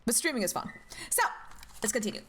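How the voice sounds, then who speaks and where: A young woman from Norway streaming a game, chatting casually and greeting chat with high, bubbly hellos. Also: expressive, fast talker, a little hiss or hum.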